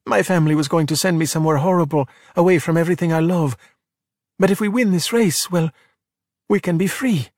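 A man's voice: fairly high pitch, fairly quick, a little mellow, no echo, clean studio sound, voice pushed up, lightly acted. A man voicing a timid, earnest young boy with a hesitant, pleading manner in a children's audiobook. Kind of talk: audiobook character voice in a children's story.

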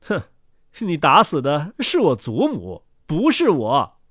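A man voicing a sly, quick-witted poor peasant trickster in a storybook tale. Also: a little high, huge pitch swings, fairly bright tone, breathy edge, dry recording, clean studio sound, exaggerated voice. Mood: smug.

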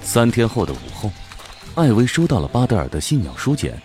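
A man's voice: medium pitch, very animated, brisk, darkish tone, soft background music, natural voice. A man playing a solemn storyteller, giving scripted dramatic narration for a fantasy audio drama. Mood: storytelling.

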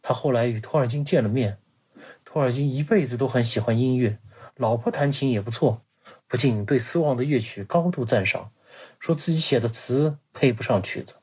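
A man's voice: medium pitch, moderate pace, a little mellow, slightly rough. A man giving a scripted, dramatized narration like a radio play, acting out quoted dialogue as he tells it. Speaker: guest storyteller.

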